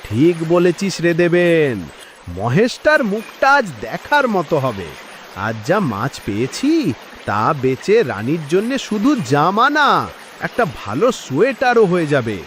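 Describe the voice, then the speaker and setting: A man voicing a village fisherman in an animated moral story. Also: fairly high pitch, unhurried, a little hiss or hum, heavily acted. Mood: cheerful, excited. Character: village fisherman, cheerful then weakening and gasping.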